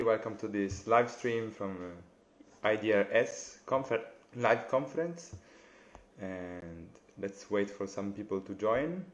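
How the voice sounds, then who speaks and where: A young man with an Italian accent, hosting a live interview over a video call, friendly and halting, with many fillers. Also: fairly low pitch, slight background noise. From Italy. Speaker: interviewer, bassoonist. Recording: dull lo-fi video call audio.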